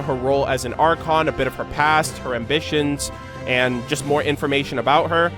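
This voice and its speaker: Higher-voiced male streamer over music. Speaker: content creator hosting a game lore reaction. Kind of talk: casual streamer commentary with read-aloud game lore. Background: background music.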